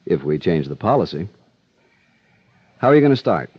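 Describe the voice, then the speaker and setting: A man's voice: sits low, big pitch swings, normal speed, husky, dry recording, heavily acted. A man playing a terse insurance man in a 1950s radio drama. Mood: matter-of-fact. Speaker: supporting actor. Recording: dull, dry vintage radio recording.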